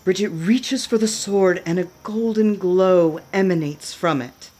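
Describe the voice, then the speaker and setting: A woman's voice: medium pitch, unhurried, a little mellow. A woman reading scripted narration for a radio play, describing the action as narrator. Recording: music behind the narration. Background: background music.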